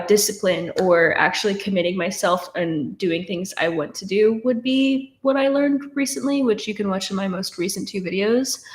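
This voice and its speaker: A woman of nineteen talks through viewer questions on a live stream, loose and chatty, trailing off and restarting as she goes. Her voice sits at a middle pitch. The room around her is echoey. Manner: casual, chatty, many fillers and restarts. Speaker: streamer answering chat questions. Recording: roomy echo.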